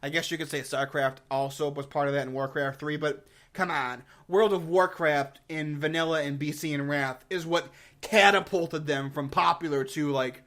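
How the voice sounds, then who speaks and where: A man with a slightly high voice talks like a gaming YouTuber addressing his viewers. His delivery is casual and unscripted, rambling informally with emphasis on key words. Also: steady tone, normal speed, hardly any pauses, a bit bright. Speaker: gaming YouTuber giving a review and channel updates.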